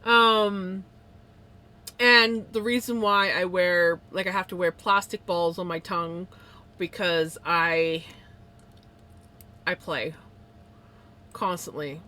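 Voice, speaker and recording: Streamer, woman, bright tone, slight lisp, rambly casual chat full of ums and quick laughs. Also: lowish voice, fairly even intonation. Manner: rambling, with many fillers and small laughs. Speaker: live streamer.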